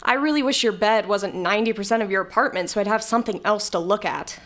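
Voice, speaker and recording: A woman's voice: mid-range voice, fast, fairly bright tone, lightly acted. A woman playing a jaded, blunt, sardonic character in an audio comedy-drama, with a dry delivery. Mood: testy, wry.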